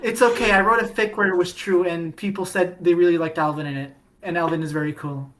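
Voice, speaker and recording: A woman with a fairly low voice co-hosts a casual fan podcast. She rambles eagerly, trips over her words and restarts her sentences often. She pleads and gushes when something excites her. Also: fast, low-quality recording. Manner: rambling, lots of restarts and fillers; enthusiastic and pleading. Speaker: podcast co-host. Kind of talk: casual fandom chat.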